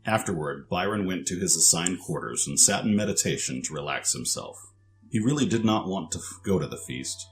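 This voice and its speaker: A man's voice: sits low, lively intonation, fairly quick, faint music behind. A man narrating a scripted story for an audio drama.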